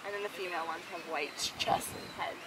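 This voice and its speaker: A woman's voice: on the low side, quick pace, a bit bright. A woman in her twenties chatting and teasing on a travel vlog, filmed on a camera. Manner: teasing, giggly. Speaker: travel vlogger. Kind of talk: casual travel vlog chat. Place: outdoors on an island and in a ship cabin. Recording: distant noisy camera audio.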